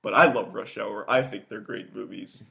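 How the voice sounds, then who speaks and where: A man's voice: on the low side, moderate pace, creaky, sharp tone. A young man co-hosting a podcast, chatting casually and rambling, often restarting sentences and laughing. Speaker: podcast co-host. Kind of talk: casual chat.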